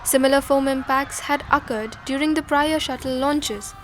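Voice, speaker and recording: A woman narrating a scripted documentary drama in a measured, solemn storytelling manner. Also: high-pitched, background noise.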